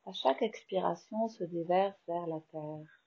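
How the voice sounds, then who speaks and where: A woman with a French accent slowly guiding a yoga nidra meditation in a soft, soothing voice. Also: lowish voice, gravelly, lo-fi sound. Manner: slow, soft, soothing guidance with long pauses. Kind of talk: guided meditation.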